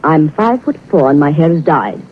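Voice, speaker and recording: Casting: affected middle-aged stage auntie, 1940s radio drama, very animated delivery, tinny old broadcast sound. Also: female voice, deep voice, moderate pace. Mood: haughty, flustered. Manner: theatrical, affected, addresses others as "my boy". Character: theatrical older music-hall aunt, grandly dramatic. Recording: muffled, noisy vintage radio recording.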